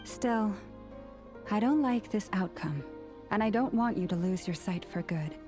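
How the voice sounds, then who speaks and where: Music plays clearly behind a poised young female healer played by a woman in a video game. She has a medium-pitched voice and speaks formally, measured and articulate, with a businesslike care. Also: expressive, lightly acted. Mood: composed concern.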